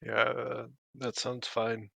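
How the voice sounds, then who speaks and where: A man's voice: medium pitch, level tone, takes it slowly, gravelly, bright, forceful tone, husky, no echo. A man playing in a tabletop game, chatting casually and laughing off his own mistakes. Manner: sheepish, laughs things off. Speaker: player.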